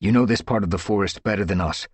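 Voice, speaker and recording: A commanding tomcat leader in a storybook, barking out orders to his warriors, as a man puts him on. The voice is raised a little above the narrator's own, husky, with a dry close sound. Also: fairly low pitch, quick pace, dry recording, close to an everyday voice.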